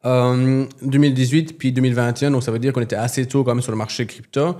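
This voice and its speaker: A man who is not a native French speaker, a company representative in a business interview, speaking haltingly and searching for words. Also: medium pitch, flat delivery, dark tone.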